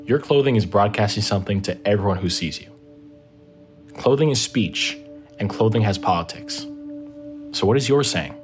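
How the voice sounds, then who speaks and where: Male podcast host, lowish voice, measured scripted narration, guitar music underneath. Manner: measured, reflective, rhetorical questions. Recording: music bed behind the voice.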